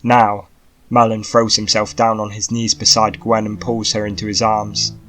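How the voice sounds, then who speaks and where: A man's voice: on the low side, monotone, moderate pace, a bit bright, soft background music. A man narrating a scripted fantasy audiobook in a dramatic storytelling style.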